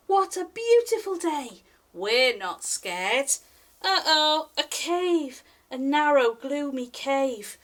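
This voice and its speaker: A woman with a high voice reads a picture book to young children. Her delivery is slow and singsong, with big swoops in pitch. She talks straight to the little listeners in an expressive storytime style. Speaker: library storytime reader. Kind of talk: animated children's picture book read-aloud.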